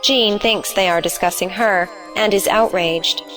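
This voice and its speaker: A woman's voice: mid-range voice, unhurried, a bit bright, faint music behind. A woman narrating an audio play, reading stage directions in a scripted style.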